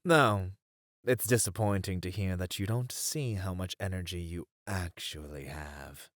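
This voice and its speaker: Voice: sits low, a little slow, fairly bright tone, no room sound, studio quality. A performer voicing a playful, smugly flirtatious demon in an ASMR roleplay, with a drawling, theatrical, teasing delivery. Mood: seductive, hungry.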